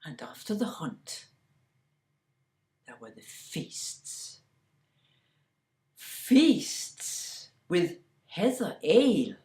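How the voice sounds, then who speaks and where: Woman, fairy-tale storyteller, slow and deliberate, hushed whispers, echoey room. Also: fairly low pitch, very animated.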